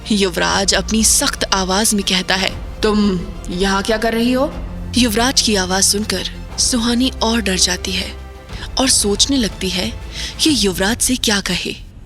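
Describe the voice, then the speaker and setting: A woman in a middle register tells a horror audio drama in a steady, measured way and builds suspense line by line. Music plays beneath her voice throughout. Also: mid-range voice. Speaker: narrator. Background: background music.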